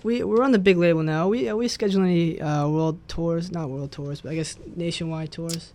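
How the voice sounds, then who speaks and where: A man hosting a radio interview, talking fast in a chatty, enthusiastic way. His voice sits a little high for a man and sounds clear and smooth. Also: fairly even intonation, a little mellow. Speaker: radio host. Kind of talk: casual interview chat.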